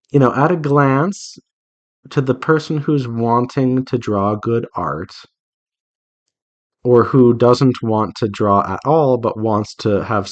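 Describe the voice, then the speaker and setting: A man's voice: mid-range voice, lo-fi sound. A man talking casually and earnestly in a rambling, advice-giving way, like an artist chatting while working. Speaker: visual novel developer and artist hosting a drawing devlog.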